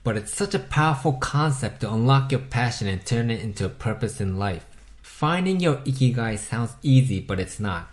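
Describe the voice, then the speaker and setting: A man with a Japanese accent, a native Japanese speaker, narrating a scripted motivational voice-over in a measured, instructive way and putting questions to the listener. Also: medium pitch, lively intonation. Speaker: self-help video host.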